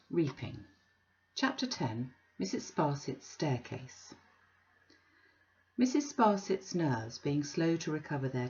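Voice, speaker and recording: Female audiobook reader, measured delivery, some vocal fry, muffled recording. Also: low-pitched, a little mellow. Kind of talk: classic novel audiobook narration. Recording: dull lo-fi recording.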